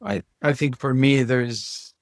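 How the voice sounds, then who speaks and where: Israeli guy, low gravelly voice, hesitant, dry room. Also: animated, slow pace, dry recording. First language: Hebrew. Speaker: guest parent. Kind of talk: casual conversation.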